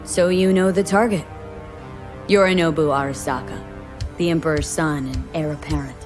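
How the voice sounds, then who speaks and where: Game heroine, female actor: lowish voice, dry, cool, tough young merc who gives little away, music underneath. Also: steady tone, normal speed, close to an everyday voice. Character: wry, tough, guarded young woman mercenary. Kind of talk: dramatic video game acting.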